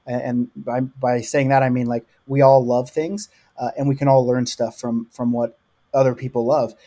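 A man's voice: mid-range voice, little pitch movement, moderate pace, warm tone. A man from the US South with a light Oklahoma accent, a guest who answers in an earnest, rambling way. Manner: rambling, earnest, lots of likes. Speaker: podcast guest. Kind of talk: casual interview.

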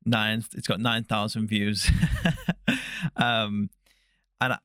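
Young man, podcast host, low voice, dry close sound, meandering questions with ums. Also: little pitch movement, slow, sharp tone, clean tone, no echo. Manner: rambling questions with many fillers. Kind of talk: casual interview.

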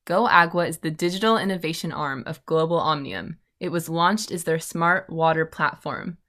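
Low-voiced woman reading an intro. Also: normal speed, a bit bright. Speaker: podcast host. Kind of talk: scripted guest introduction.

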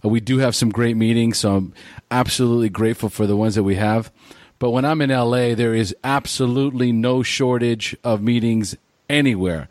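A mid-pitched man hosts a recovery interview and affirms his guest often with quick agreement. His voice sounds dry, with little room sound. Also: a little mellow, no echo. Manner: affirming, often says "absolutely". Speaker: host.

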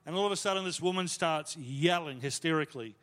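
Chatty preacher in a reverberant hall. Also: male voice, on the high side, brisk, fairly bright tone. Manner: conversational, self-deprecating, lots of fillers. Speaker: pastor. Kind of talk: sermon storytelling.

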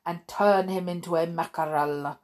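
Grand High Witch, read by a woman. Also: fairly even intonation, darkish tone, close to an everyday voice. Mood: scheming. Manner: slow, emphatic, theatrical pronouncements. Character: commanding female witch leader, ruthless and vain. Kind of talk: audiobook character reading.

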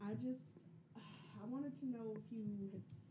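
A woman's voice: fairly high pitch, a little slow. A woman chatting casually as a player in a tabletop game, speaking tentatively. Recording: echoey, noisy room.

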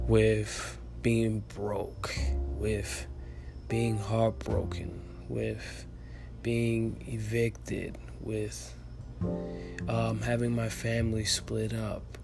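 A young man in his twenties, a native Spanish speaker with a faint Dominican accent, hosts a solo podcast in an earnest, motivational way. He rambles, restarts sentences and drops fillers as he goes. Clear music plays under his voice. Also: medium pitch. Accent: light Dominican Spanish. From Dominican Republic. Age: 20s. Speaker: podcast host. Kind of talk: casual solo podcast monologue.

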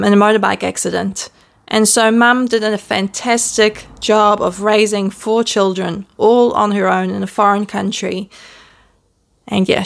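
A woman with a New Zealand accent hosting her own podcast, talking to camera in a gentle, candid, self-deprecating way, filmed at home. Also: mid-range voice, vocal fry. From born in the Netherlands, raised in New Zealand. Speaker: podcast host. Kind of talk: casual reflective monologue to camera. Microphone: self-filmed video camera.

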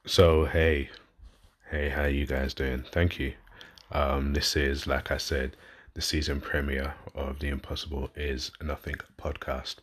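A man in his thirties with a London accent talks through his own story in a loose, rambling way. He often stops to correct himself and leans on fillers. His voice sits extremely low, with a husky edge and little rise and fall. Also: little pitch movement, unhurried. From London, England. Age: 30s. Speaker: podcast host and fitness coach. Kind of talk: casual personal monologue.

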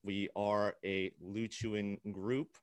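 Guest role: earnest young man from Okinawa and Hawaii, low-pitched, fillers and restarts, dry close sound. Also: flat delivery, takes it slowly, clean tone, dry recording. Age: young adult. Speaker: guest, founder of a peace group. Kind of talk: interview over a call.

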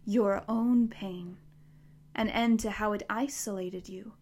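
A woman voicing a huge, ancient tree monster that speaks calmly and slowly. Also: mid-range voice. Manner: slow, measured, soothing.

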